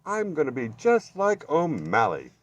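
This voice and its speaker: A man voicing eager, excitable little kittens from a storybook, exclaiming in a childlike way. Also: a little high, unhurried, dull audio, pitched above the performer's own voice, heavily acted. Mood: eager, admiring.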